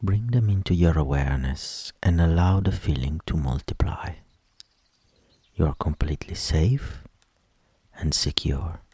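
A man leading a guided meditation in a soft, soothing voice with gentle instructions that trail into whispers. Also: extremely low pitch, husky, no room sound. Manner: slow, gentle instructions with long pauses.

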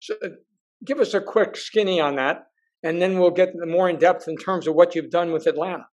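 A man's voice: sits high, darkish tone, muffled recording. A man hosting an interview, stumbling over and repeating words as he sets up his questions. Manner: halting, repeats words while framing questions. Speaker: interview host.

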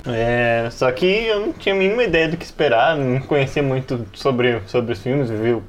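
A man's voice: medium pitch, normal speed. A Brazilian man chatting casually on a podcast, speaking hesitantly and repeating his phrases. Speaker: podcast panelist. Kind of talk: casual chat about tabletop role-playing.

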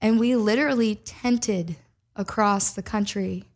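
A woman's voice: mid-range voice, measured pace, a little mellow. A young woman from Minnesota, a singer and podcast guest, telling her story in a chatty way full of "like" fillers. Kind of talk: casual storytelling chat.

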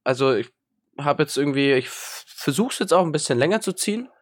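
A man's voice: a little high, lively intonation, a little fast. A young man with a cold, chatting casually as a podcast co-host, rambling and self-mocking with lots of hesitations. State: has a cold. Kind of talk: casual chat.

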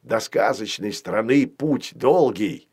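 A man voicing a friendly, practical young male dragon in a children's story, with a soft, drawn-out delivery. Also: lowish voice, expressive, slightly rough, heavily acted. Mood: fond, confiding.

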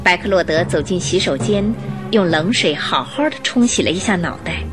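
A woman reading scripted narration for a Mandarin radio drama with expressive storyteller phrasing. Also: on the low side, normal speed, music in the background.

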